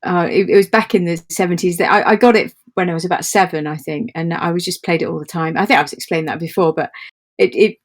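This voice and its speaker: A woman's voice: on the low side, fast. A woman chatting casually and enthusiastically as an interview guest. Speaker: guest, audio play creator.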